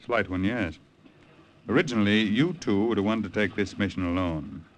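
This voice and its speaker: An actor playing a grave, insistent American colonel briefing agents in 1940s radio drama style, with an American accent. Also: male voice, on the low side, lightly acted. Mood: guarded, measured. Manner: authoritative, measured, insistent. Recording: faintly noisy vintage radio recording.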